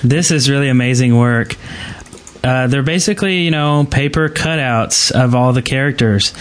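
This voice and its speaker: A man's voice: medium pitch, moderate pace. A man chatting casually as a co-host of a gaming podcast.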